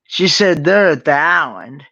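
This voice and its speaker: A man's voice: on the high side, lively intonation, unhurried, bright, forceful tone, no echo. A man chatting casually on a group livestream, often restarting and repeating his phrases. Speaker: livestream panel member. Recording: dry, muffled lo-fi voice-chat audio.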